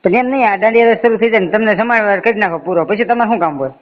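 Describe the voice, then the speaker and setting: High-pitched Gujarati man on a phone call. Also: rapid-fire. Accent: Gujarati, Saurashtra. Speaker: caller asking questions. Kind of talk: casual phone chat. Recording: dull lo-fi phone call recording.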